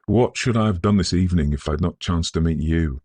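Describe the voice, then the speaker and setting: A man voicing a proud, emotional young Victorian gentleman in an audiobook, genteel and impulsive. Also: sits low, brisk, no echo. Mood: friendly, curious.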